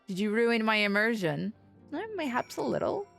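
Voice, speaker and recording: A woman's voice: on the high side, very animated, normal speed, gravelly. A woman livestreaming a game, chatting casually with viewers in a playful, irreverent, slangy way. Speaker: game streamer. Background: soft game music.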